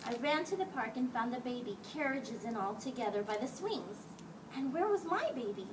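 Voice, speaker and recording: High-voiced woman reading a storybook aloud. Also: animated, normal speed. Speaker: teacher reading a picture book to children. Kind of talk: expressive storybook read-aloud for children. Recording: distant mic, clearly noisy background.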